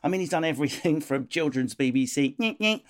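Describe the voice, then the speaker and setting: A man's voice: a little high, animated, a little fast. A man hosting a comedy podcast, cheeky and playful with jokey asides. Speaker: podcast host. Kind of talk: comic podcast chat.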